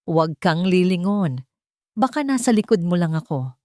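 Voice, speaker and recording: A woman's voice: on the low side, sing-song, normal speed, mellow, no room sound, clean studio sound. Woman as a cold, menacing ghostly wife. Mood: menacing, taunting. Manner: icy, whispering menace.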